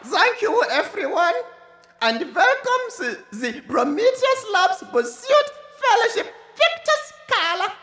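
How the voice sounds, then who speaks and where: Excitable foreign scientist, radio play. Very high, wildly animated voice, echoey live room. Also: female voice, slow, a little hiss or hum, heavily acted. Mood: grandly ceremonial. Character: excitable foreign scientist, Doctor Dietrich, gala host. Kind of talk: live radio drama acting. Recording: echoey live stage broadcast, faint crowd.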